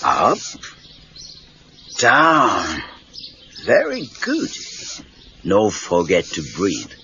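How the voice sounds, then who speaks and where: Slow, terse old Japanese karate master. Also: male voice, fairly low pitch, huge pitch swings, pushed and bright, a little hiss or hum, full character voice. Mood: instructing. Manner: clipped broken English, terse commands. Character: elderly Japanese karate master, terse, stern but wry. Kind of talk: children's read-along audio drama acting. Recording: dull lo-fi cassette tape.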